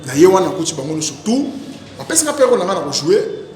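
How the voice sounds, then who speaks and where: Male, Congolese accent, interviewee. Animated, repetitive casual storytelling, long reverberant room sound with clear music underneath. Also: high-pitched, fairly quick. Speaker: stage actor being interviewed. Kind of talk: casual interview, recounting career.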